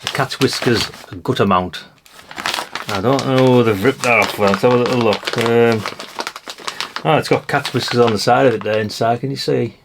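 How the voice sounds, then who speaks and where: A man's voice: mid-range voice, fairly even intonation, normal speed, vocal fry, background noise. A British man giving a casual hands-on show-and-tell as a vlogger, musing aloud in a rambling way with chatty asides to the viewers. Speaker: vlogger showing a haul of vintage CB radios.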